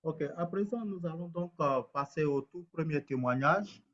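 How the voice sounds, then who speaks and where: A man's voice: on the high side, normal speed. A man acting as master of ceremonies, formally introducing segments over slightly dull online call audio. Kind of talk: formal hosting of an online event.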